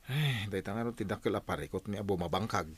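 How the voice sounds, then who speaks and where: A man's voice: fairly low pitch, fast talker, husky, no room sound. A man with an Ilocano accent acting an exasperated, anxious farmer husband in a comic drama, with an exclaiming delivery. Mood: weary, grumbling. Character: exasperated, anxious farmer husband scolding his indebted wife.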